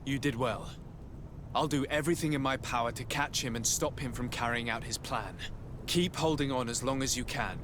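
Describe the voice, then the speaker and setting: A man's voice: normal speed, natural voice. A man playing a young noble hero in a video game, earnest, courteous and resolute, speaking formally. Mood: earnest resolve. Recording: clear rumbling outdoor noise behind.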